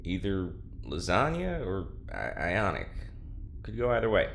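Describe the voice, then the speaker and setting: A man's voice: low voice, wildly swooping pitch, measured pace, vocal fry, fairly bright tone, a little hiss or hum, exaggerated voice. A man playing a flustered, defensive research assistant in an audio drama, hesitant and prone to stammering. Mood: baffled, hesitant.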